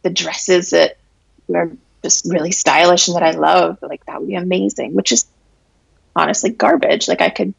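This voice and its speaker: A hoarse, raspy woman in her late twenties speaks candidly in a conversational interview. Her voice sits very low and gravelly, with a breathy, husky edge. The sound is close and dry, with no room around it. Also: moderate pace, dry recording, poor audio. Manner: frank, reflective, many fillers and laughs. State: hoarse from allergies. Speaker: interview guest.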